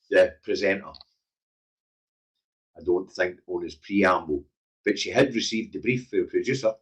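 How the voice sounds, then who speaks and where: Husky, low-voiced Glaswegian man. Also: low-quality recording. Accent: Glaswegian Scottish. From Scotland. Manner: blunt, emphatic, sweary, conversational. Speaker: livestream current affairs show host. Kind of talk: opinionated casual live commentary.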